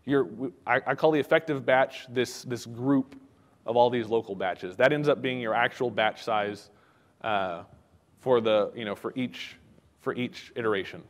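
A man giving a technical conference talk in a casual, halting way, with many fillers and restarts. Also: medium pitch, in a large hall. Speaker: conference speaker. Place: conference hall.

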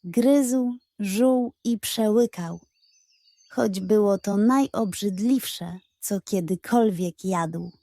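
A woman reading a scripted bedtime fairy tale as storyteller, in an expressive, measured way. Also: medium pitch, dry recording, clean studio sound.

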